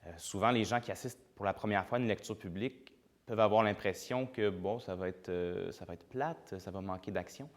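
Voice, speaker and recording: A playwright talks about his work in an interview. His voice is very low. He speaks reflectively and hesitantly, with frequent fillers. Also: a little fast.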